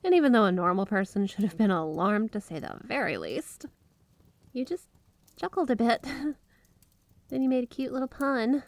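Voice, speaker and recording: A woman playing a flirty, obsessively devoted yandere wife in an intimate ASMR roleplay, gushing straight to the listener with drawn-out emphasis. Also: fairly high pitch, very animated, dry recording. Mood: giddy, flirty. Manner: gushing, breathy giggles, drawn-out emphasis on words.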